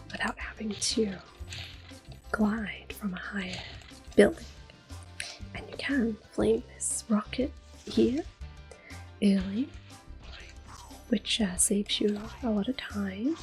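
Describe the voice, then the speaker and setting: A woman giving soft-spoken, often whispered ASMR commentary as she plays through a video game. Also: medium pitch. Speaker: host of an ASMR gameplay series. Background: game music.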